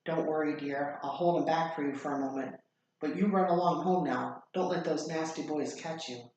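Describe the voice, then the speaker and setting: A woman's voice: sits low, normal speed, darkish tone, pitched below the performer's own voice. A woman reading a story aloud as a kindly, protective female crossing guard, reassuring and motherly. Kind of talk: read-aloud story acting. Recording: very echoey room, faint noise.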